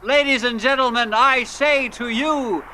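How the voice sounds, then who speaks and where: Very high-pitched, grandiose stage announcer. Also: male voice, bright, forceful tone, a little hiss or hum, exaggerated voice, low-quality recording. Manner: grandiose, drawn-out, theatrical. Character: theatrical, grandiose male stage announcer, later exasperated. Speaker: stage show master of ceremonies. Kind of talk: old-time variety show introduction.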